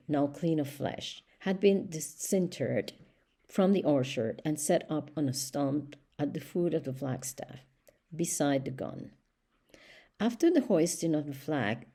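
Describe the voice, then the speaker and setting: Woman narrating an audiobook, deep for a lady, mellow tone, measured delivery. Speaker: audiobook reader. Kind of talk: scripted storybook narration.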